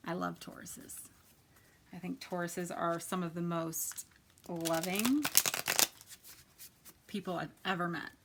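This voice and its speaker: A soft-spoken woman with a quiet voice, chatting casually as she gives a tarot reading, often dropping to a near whisper. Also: on the low side, fairly even intonation, slow, pauses often, very noisy. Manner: chatty, many fillers, drifts to near-whisper. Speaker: tarot reader. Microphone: quiet home recording.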